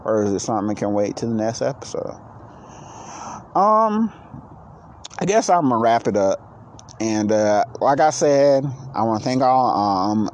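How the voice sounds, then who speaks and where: A man's voice: mid-range voice, a little mellow, a little hiss or hum. Rambling, tired man in his late thirties. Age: 30s. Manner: rambling, halting, with many restarts and fillers. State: low on energy. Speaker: podcast host. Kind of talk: casual solo podcast monologue.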